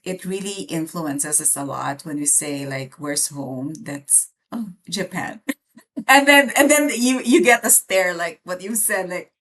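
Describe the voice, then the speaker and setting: A woman's voice: lowish voice, unhurried, fairly bright tone, rough recording. A woman in her thirties from the Philippines, co-hosting a podcast in a reflective, casual chat full of fillers. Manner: reflective, many fillers and restarts. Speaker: podcast co-host.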